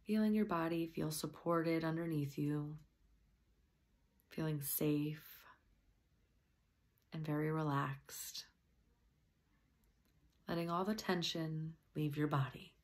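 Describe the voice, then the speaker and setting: A woman with a low-set voice leads a guided meditation. She speaks very softly and unhurriedly, leaving each phrase room. Her gentle delivery at times thins into a breathy near-whisper. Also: slow pace, long pauses.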